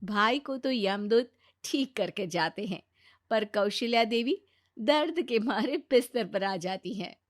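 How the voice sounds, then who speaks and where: A woman's voice: high voice, sharp tone, no echo. A woman storyteller reading a dramatic scripted narration of a domestic moral tale.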